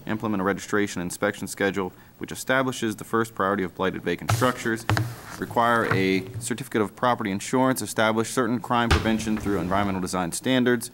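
A man's voice: fairly low pitch, fast, over music. A man on a city council reading out formal motions into a desk microphone. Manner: rattles off formal motion text. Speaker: council member. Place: council chamber.

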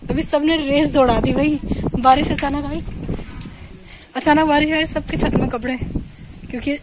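Young woman, casual phone vlog outdoors, slightly high voice, faint insects behind. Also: fairly even intonation, normal speed, noisy background. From India. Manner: chatty, narrates what she is doing to the camera. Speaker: vlogger. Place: outdoors at home and in a field. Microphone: phone camera.

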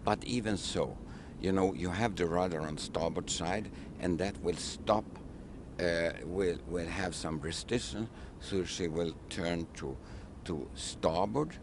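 A man, a ship captain speaking halting, word-searching English in an outdoor interview on a waterfront, into a handheld mic. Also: deep voice, noisy background. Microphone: handheld mic held by interviewer.

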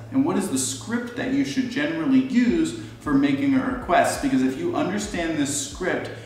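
A man's voice: medium pitch, a little mellow. An American man in his twenties giving an instructional explanation to camera as a student pilot, recorded in a living room. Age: 20s. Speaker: student pilot presenting a tutorial. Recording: roomy, echoey, distant, faint noise.

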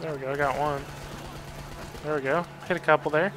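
A man's voice: a little high, steady tone, brisk, music in the background. A man giving casual gameplay commentary, reacting with grumbling and swearing as he plays. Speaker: video game player.

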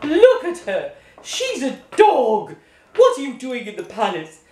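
A woman's voice: a little high, huge pitch swings, a little slow, darkish tone. A woman voicing a haughty, outraged queen in a children's story, imperious and exclamatory. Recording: echoey room.

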